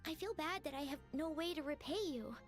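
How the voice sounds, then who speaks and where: A woman's voice: sits high, brisk, soft background music, natural voice. A woman voicing a shy, earnest young girl who dreams of being a bard, in a video game. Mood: flustered, apologetic.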